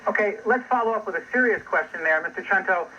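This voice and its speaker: A man's voice: high voice, fast talker. A man moderating a TV call-in news show, stumbling over his words. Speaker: TV news host. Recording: muffled TV audio replayed on radio.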